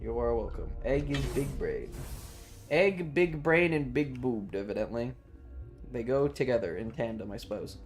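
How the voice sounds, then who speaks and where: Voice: medium pitch, lively intonation, normal speed, faint music behind. A streamer casually commenting on a game and chatting with viewers in a rambling, playful, profane manner. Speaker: live streamer.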